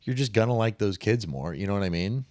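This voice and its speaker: A man's voice: fairly low pitch, expressive, quick pace, a little mellow, no room sound, studio quality. A man co-hosting a podcast, talking over Bible stories in loose casual chat, full of "like"s and trailing restarts. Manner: rambling, with many "like"s and trailing restarts. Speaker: podcast co-host.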